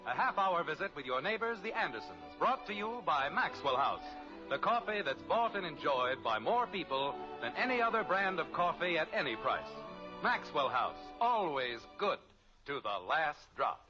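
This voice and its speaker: A man's voice: a little high, big pitch swings, normal speed, over music. A man announcing in a scripted, playfully grand 1950s radio manner. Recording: muffled old radio recording.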